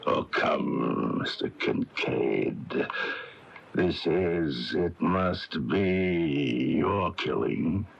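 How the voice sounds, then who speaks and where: An actor playing a soft-spoken, menacing criminal in a radio mystery drama, speaking in a deliberate, formal manner. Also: male voice, rumbling low, animated, creaky, full character voice. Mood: quietly menacing. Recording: muffled, noisy old radio broadcast tape.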